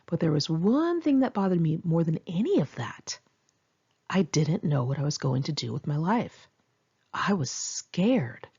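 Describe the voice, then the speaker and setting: A woman's voice: low-pitched, dark tone, slightly rough, highs cut off. An American woman reading her own memoir as a first-person audiobook, in a candid, informal and dramatic storytelling manner.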